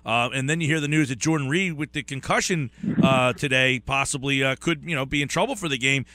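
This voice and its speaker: A man's voice: mid-range voice, a little fast, pushed and bright, dry recording. A man hosting a sports talk radio show, asking the guest questions in a conversational way.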